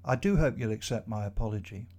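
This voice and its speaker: A man's voice: fairly low pitch, quick pace, lightly acted. A man doing a weary middle-aged boss. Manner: sad, weary, calmly reasonable.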